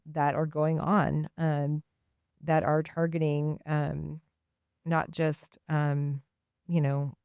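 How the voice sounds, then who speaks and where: A woman hosting a radio show, talking and reading aloud in an informal, halting way. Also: low voice, darkish tone, clean tone, dry recording, studio quality. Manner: hesitant, informal, halting delivery. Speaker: radio host. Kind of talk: casual spoken commentary and reading aloud on radio.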